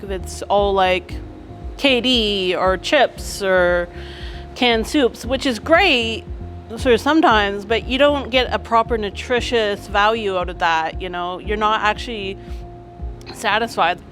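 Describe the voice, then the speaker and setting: A woman's voice: mid-range voice, takes it slowly, a bit bright. Woman talking casually about her life, medium voice, bit of music behind. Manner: informal, conversational. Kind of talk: documentary interview, casual personal account.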